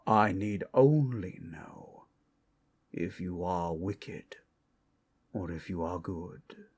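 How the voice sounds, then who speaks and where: A man playing an ancient, solemn, protective dragon guarding its hoard in a dramatic ASMR roleplay, with a husky, breathy delivery and grave, deliberate pauses. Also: low voice, a little mellow, full character voice. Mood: commanding, grave.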